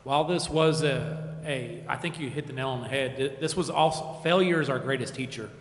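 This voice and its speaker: A man making an earnest, measured public statement as a civil servant, often restating his points. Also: mid-range voice. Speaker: civil servant addressing a council. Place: large indoor council chamber. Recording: echoey council chamber.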